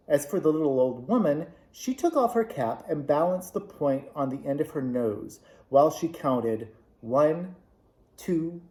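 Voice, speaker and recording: A man reading a classic children's novel aloud, with clear, expressive storytelling. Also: fairly high pitch. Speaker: club vice president reading aloud. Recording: echoey room.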